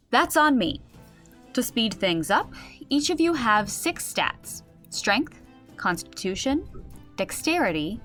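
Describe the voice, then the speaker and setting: A woman's voice: on the high side, a bit bright, full character voice. A woman playing a wry game master who explains and narrates a comedic tabletop game in an audio drama. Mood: wry, explanatory.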